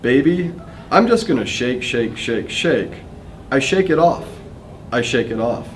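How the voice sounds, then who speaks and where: A man's voice: mid-range voice, animated, measured pace, soft background music. A man giving a spoken dramatic reading of lyrics indoors.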